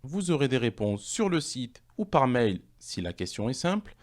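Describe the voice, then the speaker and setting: A man presenting an online language course, reading questions and answers in a measured, explanatory way. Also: medium pitch, sing-song.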